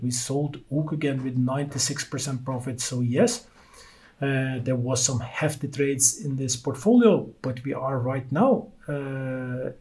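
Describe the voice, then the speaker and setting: A man from Norway with a Norwegian accent, speaking English as a second language while hosting a solo stock-market podcast in a halting way full of fillers. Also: medium pitch, a little mellow. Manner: halting, many uh fillers, loose non-native phrasing. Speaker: podcast host.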